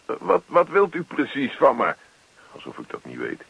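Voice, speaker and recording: An actor playing a grumpy, self-pitying husband in a radio play, muttering to himself in a hesitant, put-upon way. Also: male voice, mid-range voice, wildly swooping pitch, normal speed, vocal fry, a bit bright, breathy edge, exaggerated voice. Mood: put-upon, evasive. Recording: dull lo-fi radio play recording.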